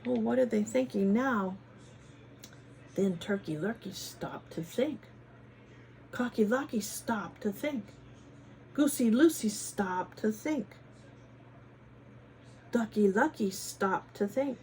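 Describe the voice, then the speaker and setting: A woman reading a picture book aloud to children in a teacherly way, pausing to ask them questions and adding dramatic emphasis. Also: mid-range voice, animated, darkish tone, a little hiss or hum. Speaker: children's story reader, former teacher. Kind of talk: animated picture-book read-aloud with chatty asides to children.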